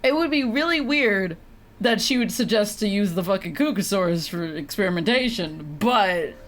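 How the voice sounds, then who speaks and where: A woman's voice: mid-range voice, expressive, normal speed, a bit bright, slight background noise. A woman streamer giving casual, reactive, sweary commentary while playing a game. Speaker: live streamer.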